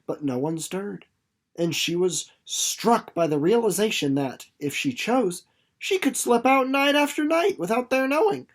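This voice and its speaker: Audiobook narrator, mid-pitched voice, dramatic literary storytelling with suspenseful slowing and softening. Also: sing-song. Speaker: audiobook narrator reading a novel chapter.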